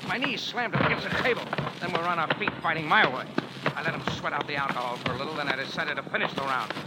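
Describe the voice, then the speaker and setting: A tough American hard-boiled hero played by a male actor in an old radio drama. He rattles off slangy, sardonic lines at a fast clip, and the vintage recording is very noisy. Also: on the high side, lightly acted. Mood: heated, gritty. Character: tough, wisecracking male Cairo bar owner turned sleuth. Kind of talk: 1940s radio acting.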